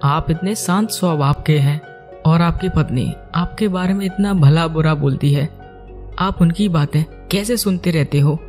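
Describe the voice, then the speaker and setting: Man as respectful cartoon priest, fairly high voice, polite, questioning, music underneath. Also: normal speed, darkish tone, lightly acted. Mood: curious. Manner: polite, inquiring. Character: curious, respectful Brahmin visitor seeking answers. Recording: music behind the voice.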